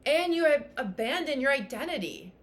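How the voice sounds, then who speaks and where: A woman whose first language is French, a fitness coach giving an energetic, emphatic motivational talk on a livestream, repeating phrases for punch. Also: high-pitched, normal speed. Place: Tulum, Mexico.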